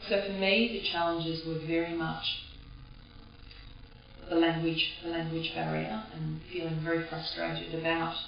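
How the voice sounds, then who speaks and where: A woman with an Australian accent, raised speaking Greek at home, recalling her childhood in a reflective, halting way. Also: sits low, level tone, gravelly, a little hiss or hum. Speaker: interviewee. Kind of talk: personal reminiscence. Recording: echoey, distant, dull recording.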